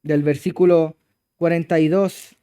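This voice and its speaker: A man with a Chilean accent preaching a sermon as pastor, emphatic and moving between loud exclamations and low hushed passages. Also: flat delivery, unhurried, clean tone. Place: church.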